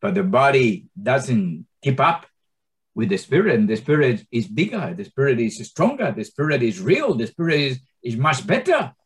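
A man in his sixties teaching scripture to a small group in non-native English. His pitch swings widely as he presses his points, and he often stumbles and restarts his phrases. The grammar slips are constant, but his delivery stays earnest and fervent. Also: big pitch swings, normal speed. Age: 60s. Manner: impassioned, many stammered restarts. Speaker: Bible teacher.

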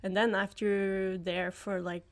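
A young woman from Norway speaks English at an unhurried, slow pace. She pauses often on ums and laughs through her sentences in a relaxed, casual conversation. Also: mid-range voice. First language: Norwegian. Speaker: guest, former student athlete.

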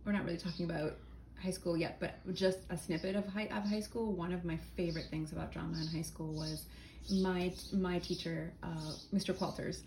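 A woman actor with a slight Boston accent under neutral American speech, chatting casually as a podcast co-host. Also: a little fast. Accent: slight Boston, mostly neutral American. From Boston area. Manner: chatty, animated, drops into high put-on voices for quotes. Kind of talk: casual chat. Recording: roomy echo, faint background noise.

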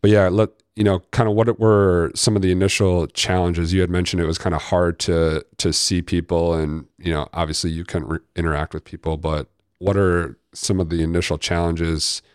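A man in a casual conversation, talking in a relaxed way and asking questions with plenty of fillers. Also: low voice, moderate pace, hardly any pauses, clear, resonant tone, dry recording, studio quality. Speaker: guest, friend.

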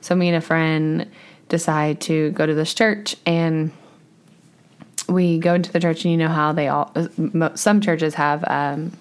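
A woman's voice: low-pitched, measured pace, smooth. Chatty woman with a slightly scratchy voice. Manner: chatty, animated, laughs often. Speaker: podcast co-host. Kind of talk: casual chat.

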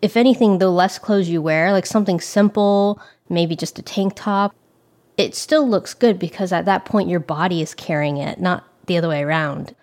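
A woman hosting a podcast, talking in a candid, chatty way and swearing now and then. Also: moderate pace. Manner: animated, pitch jumps when exasperated. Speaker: podcast host. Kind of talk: casual monologue.

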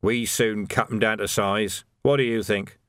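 A man voicing a sly, resentful sergeant in an audiobook, grumbling in rough common speech. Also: fairly low pitch, steady tone, measured pace, a bit bright, no room sound, studio quality. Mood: bitter. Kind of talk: audiobook character voice.

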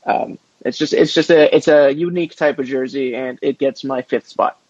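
A man's voice: medium pitch, steady tone, normal speed, darkish tone, no echo. A man co-hosting a casual podcast, easygoing and a little hesitant. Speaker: podcast co-host. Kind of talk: casual chat. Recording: slightly dull dry recording.

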